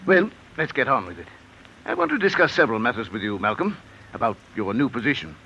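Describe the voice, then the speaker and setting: An actor playing a grave, businesslike university dean in a vintage radio drama, formal in manner. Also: male voice, medium pitch, wildly swooping pitch, fast, sharp tone, dull audio, full character voice. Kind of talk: 1950s radio drama acting. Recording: old radio broadcast, faint noise.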